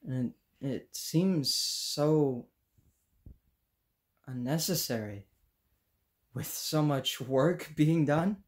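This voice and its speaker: A man playing an earnest, idealistic student in a dramatic monologue, halting and searching for words. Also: a little high. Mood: hopeful, earnest. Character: earnest, idealistic, tongue-tied student recalling his teacher.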